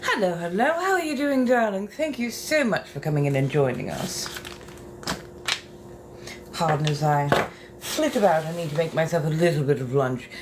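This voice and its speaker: A woman's voice: sits low, very animated, normal speed. A woman hosting a casual livestream from a bathroom as she gets ready. She talks in a flirtatious, theatrical way and calls her viewers darling. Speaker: livestream host. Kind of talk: casual livestream chat while getting ready. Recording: clear background noise.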